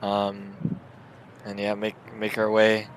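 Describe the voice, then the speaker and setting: A man's voice: lowish voice, monotone, takes it slowly, a little hiss or hum, poor audio. A man playing a tabletop roleplaying game, casually describing his character's actions and hesitantly searching for words.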